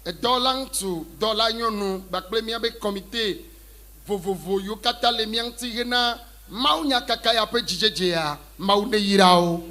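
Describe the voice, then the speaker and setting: A man's voice: high-pitched, measured pace, pushed and bright. A man, interpreting live at a religious crusade, phrase by phrase.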